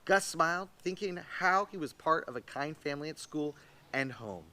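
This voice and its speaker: Guy reading a kids book out loud, bright highish voice, really expressive. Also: moderate pace. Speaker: children's story reader. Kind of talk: scripted storybook read-aloud.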